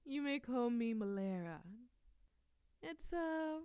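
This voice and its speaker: Woman acting a slow, sultry succubus demon princess. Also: sits high, animated, dark tone, clear, resonant tone, dry recording, clean studio sound. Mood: playful, teasing. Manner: teasing, giggly, sultry drawl. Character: playful, seductive, teasing immortal succubus demon princess. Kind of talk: scripted audio roleplay acting.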